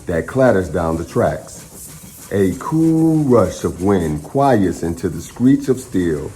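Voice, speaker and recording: A man hosting a children's storytime, speaking to young listeners in an encouraging, expressive way as he chats and reads aloud. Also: low-pitched, takes it slowly, a little hiss or hum. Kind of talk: children's read-aloud and upbeat chat to young viewers.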